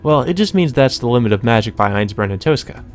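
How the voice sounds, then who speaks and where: A man's voice: medium pitch, lively intonation, fast talker. Man reading a visual novel aloud, faint music.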